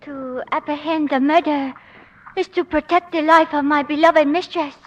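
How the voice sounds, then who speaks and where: An actress playing a timid, devoted Chinese maid in a 1940s radio drama, speaking soft, formal, stilted broken English. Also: female voice, high-pitched, steady tone, normal speed, muffled recording. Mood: solemn.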